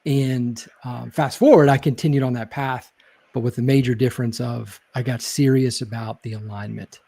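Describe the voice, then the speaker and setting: A man's voice: mid-range voice, fairly even intonation, moderate pace, creaky, mellow. A man who is a guest in a casual interview, telling stories in a reflective way with many small hedges. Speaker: guest, leadership speaker.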